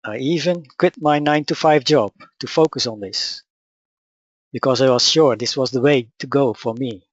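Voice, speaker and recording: Dutch-accented male speaker, mid-pitched, calm and measured; dry, slightly muffled recording. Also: no echo. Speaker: spiritual teacher. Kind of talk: personal account and teaching talk.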